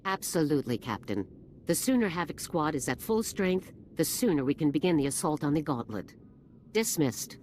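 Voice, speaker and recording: An actress playing a stern, authoritative army general in a video game, formal and commanding, over background ambience. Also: female voice, low voice, very animated, normal speed, a little mellow, slight background noise. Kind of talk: video game dialogue acting.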